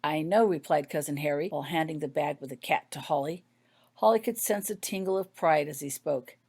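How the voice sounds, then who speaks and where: A woman's voice: low voice, fairly quick, creaky, darkish tone, slightly rough. A woman narrating a scripted story, reading her own fiction aloud.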